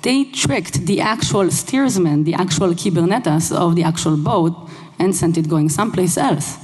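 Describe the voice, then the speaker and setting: A young woman whose first language is Hebrew, giving a lively conference talk on a headset mic in a large hall, engaging the audience with questions. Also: on the low side, unhurried. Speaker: conference speaker. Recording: long hall reverb.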